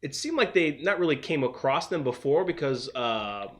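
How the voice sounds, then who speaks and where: A man co-hosting a casual TV review chat, a little nasal and congested from a cold, easygoing and quick to laugh. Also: on the high side, expressive, a bit bright. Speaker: co-host of a TV review show.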